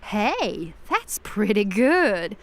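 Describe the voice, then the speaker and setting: A woman's voice: medium pitch, very animated, extremely slow. A woman playing a gleeful, commanding female demon boss in an audio drama, with a mocking, theatrical drawl.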